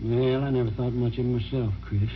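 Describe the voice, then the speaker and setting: A man's voice: mid-range voice, steady tone, brisk, close to an everyday voice. An actor playing a plain-spoken, determined small-town man with a folksy, persistent manner in an old-time radio drama. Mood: firm, persuading. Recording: muffled, slightly noisy vintage radio recording.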